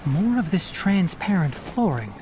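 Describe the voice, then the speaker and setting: An actor playing a calm, observant young forest watcher in a video game, explaining things in a measured, quietly authoritative way. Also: male voice, high voice, background noise. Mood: brisk, focused.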